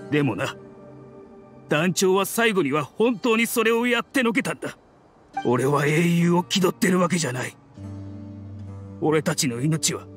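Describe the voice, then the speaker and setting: A man voicing a grim, resolute mercenary in a video game, speaking in a rough, blunt and earnest dramatic manner. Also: fairly high pitch. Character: grim, resolute mercenary man bent on avenging his leader. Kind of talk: dramatic anime-style game acting. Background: soft music.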